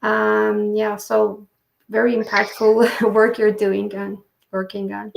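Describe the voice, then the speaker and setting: A woman hosting a podcast interview, speaking in halting phrases full of fillers. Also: medium pitch, completely flat delivery, creaky. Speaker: podcast host. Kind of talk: interview conversation. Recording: echoey room.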